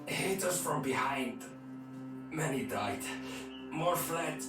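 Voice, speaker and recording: An actor playing a loyal wounded mercenary warrior in pain, with strained, gasping, halting delivery in a video game. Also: male voice, on the high side, expressive. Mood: pained, grim. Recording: echoey, distant, under loud music.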